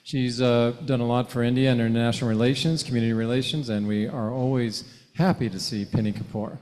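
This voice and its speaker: A man's voice: mid-range voice, steady tone, quick pace, a little mellow, a little hiss or hum. A man at a club meeting introducing a returning guest over a passed-around microphone. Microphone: handheld mic passed around. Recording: reverberant hall from off-mic.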